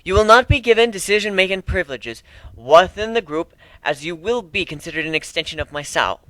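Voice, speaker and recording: A firm young griffin chief played by a man hands down judgment in a fantasy story reading. The delivery is formal and forceful, pushed higher in pitch, with a bright tone and a dry sound. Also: expressive, a little fast, dry recording, rough recording. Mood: solemn, commanding. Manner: declarative, formal.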